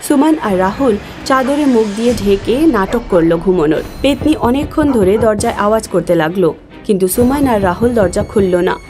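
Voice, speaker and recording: A woman reading scripted story narration as the narrator. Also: on the high side.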